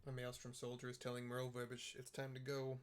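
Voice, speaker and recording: A man's voice: mid-range voice, fast talker. A man narrating game cutscenes in a casual, plain-spoken way. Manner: flat, plain-spoken describing.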